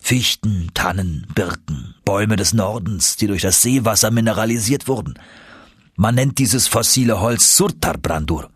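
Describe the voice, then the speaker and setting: A man acts out an older professor uncle in an adventure storybook. He is confident and eager, with a low, husky voice. He lectures with emphasis. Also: lively intonation, normal speed, no echo, studio quality. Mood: explaining.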